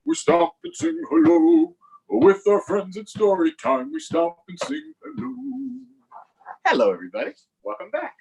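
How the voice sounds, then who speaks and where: A man hosts a story time for young children in a husky voice. His pitch swings widely as he reads and chats. His delivery is playful and sing-song, with casual asides to the viewers. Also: wildly swooping pitch, moderate pace, darkish tone, low-quality recording.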